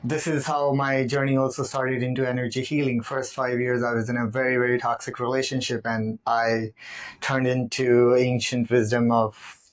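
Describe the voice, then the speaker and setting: A man who speaks English as a second language, telling his life story slowly on a podcast with many pauses. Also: medium pitch, level tone, poor audio. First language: not English. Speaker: podcast guest. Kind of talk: casual personal storytelling. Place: home studio, indoors.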